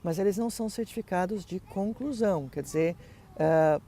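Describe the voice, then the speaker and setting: A man's voice: high-pitched, moderate pace, warm tone, a little hiss or hum. A middle-aged man teaching German, explaining how his online courses work in a conversational way, full of fillers and tag questions. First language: Portuguese.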